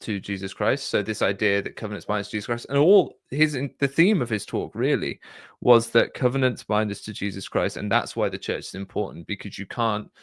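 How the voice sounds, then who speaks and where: A man co-hosting a video-call show, talking through church talks in a measured, hesitant, self-deprecating way. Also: fairly low pitch, dry recording, studio quality. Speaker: co-host presenting slides. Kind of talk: casual reflective commentary.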